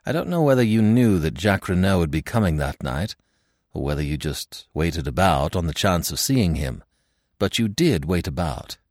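Man acting earnest young gentleman, intense, protective, lowish voice, lively intonation, audiobook dialogue. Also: normal speed, no room sound, clean studio sound. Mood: probing.

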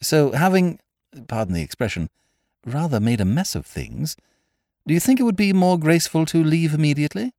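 A man playing an earnest English gentleman sidekick in an audiobook, politely blunt and insistent. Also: on the high side, big pitch swings, a little fast, no room sound, studio quality, pitched above the performer's own voice. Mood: insistent, impatient.

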